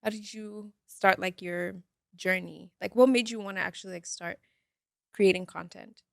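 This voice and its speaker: Relaxed woman with a gravelly edge. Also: medium pitch, normal speed, no echo, studio quality. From Nairobi, Kenya. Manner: relaxed, with many fillers like "um" and "like". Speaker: podcast co-host, beauty content creator. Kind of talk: casual podcast chat.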